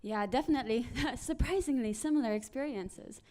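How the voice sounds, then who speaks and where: Lively woman telling work stories. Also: fairly high pitch, brisk, slight background noise. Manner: animated storyteller acting out quoted people. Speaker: panelist. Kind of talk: conference panel discussion.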